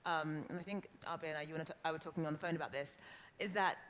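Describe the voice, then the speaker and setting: A woman's voice: low-pitched, fairly even intonation, fast, a bit bright. A woman moderating a panel discussion in a conversational manner. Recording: faint background noise.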